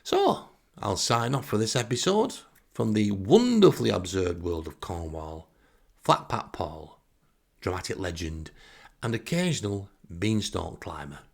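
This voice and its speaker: Low, gravelly Northern English man, wry. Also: sing-song, measured pace. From northern England. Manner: wry, sarcastic. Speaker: podcast host. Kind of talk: comic first-person storytelling monologue.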